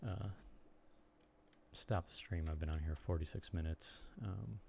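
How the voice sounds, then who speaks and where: Young man, Chicago accent, low mellow voice, rambling live-stream chat. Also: vocal fry, slight background noise. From Chicago area, United States. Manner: rambling, halting, lots of ums and restarts. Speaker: streamer and blogger.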